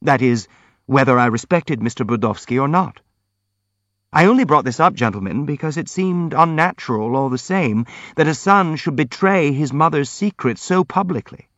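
A man voicing a gentle, timid young prince in an audiobook, speaking politely and earnestly. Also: a little high, lively intonation, normal speed, no room sound, muffled recording, pitched above the performer's own voice. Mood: hasty, apologetic. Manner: polite, earnest, hastily apologetic.

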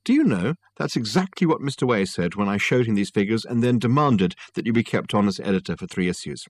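A man voicing a brisk upper-class mother in an audiobook, sweetly condescending and ruthless. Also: fairly low pitch, lively intonation, husky. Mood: sweetly cutting.

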